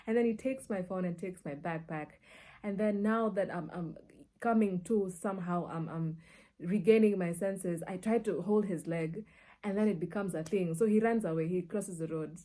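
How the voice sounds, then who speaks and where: A woman's voice: lowish voice, normal speed. A woman with a Kenyan accent telling personal stories casually and expressively, slipping between languages and acting out dialogue. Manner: expressive, acts out dialogue and reactions. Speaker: media personality and storyteller. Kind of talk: casual personal storytelling, mixing in Swahili.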